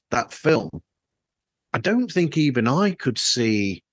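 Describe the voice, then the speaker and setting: A man's voice: medium pitch, no echo. A man hosting a casual interview, talking in an enthusiastic, rambling way through a troublesome microphone. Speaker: podcast host. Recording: flawed, troublesome microphone sound.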